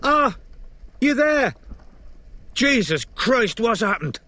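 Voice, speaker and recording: A shaken young woman with an injury, performed by a male narrator in an audiobook. The voice is lifted high, pained and gasping, with a feisty, exasperated edge. It is recorded very dry. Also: lively intonation, unhurried, no room sound. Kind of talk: audiobook character acting.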